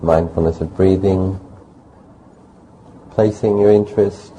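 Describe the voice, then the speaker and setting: A man teaching a guided meditation as a monk, speaking calmly in short, measured phrases with pauses. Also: low-pitched, poor audio. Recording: faint background noise.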